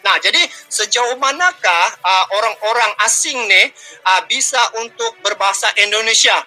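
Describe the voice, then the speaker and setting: A man's voice: high-pitched, normal speed, pushed and bright, soft background music, low-quality recording. A man with a Malaysian Malay accent hosting a reaction video, chatting casually with frequent fillers. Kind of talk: casual commentary.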